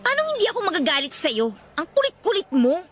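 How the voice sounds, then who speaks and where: High lively female voice, feisty young girlfriend, sassy, evasive, Filipino accent, radio romance drama. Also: fast talker, soft background music, heavily acted. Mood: irritated, defensive. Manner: sassy, sharp-tongued.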